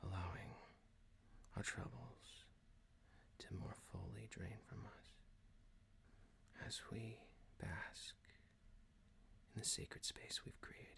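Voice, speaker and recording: Male ASMR meditation guide, low and creaky, breathy half-whisper, soothing delivery with long pauses. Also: steady tone. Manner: soothing, hushed, lingering pauses.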